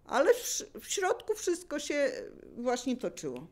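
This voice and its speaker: A woman's voice: high-pitched, wildly swooping pitch, measured pace, a little mellow. An older woman casually sharing memories as a local resident, searching for words and repeating herself to explain. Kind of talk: casual spoken reminiscence.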